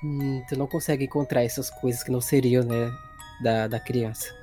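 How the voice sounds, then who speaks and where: A man's voice: mid-range voice, steady tone, moderate pace, dark tone. A man with a Brazilian accent narrating a tabletop horror game as game master in a casual, conversational way. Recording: music playing behind the voice.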